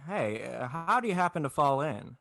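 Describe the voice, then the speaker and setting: A man's voice: fairly high pitch, very animated, moderate pace, gravelly, fairly bright tone, dry recording, studio quality, heavily acted. A man playing a despairing, wry, bewildered family man with a stammering, folksy manner, in 1940s radio drama style. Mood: sardonic, weary. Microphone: video call.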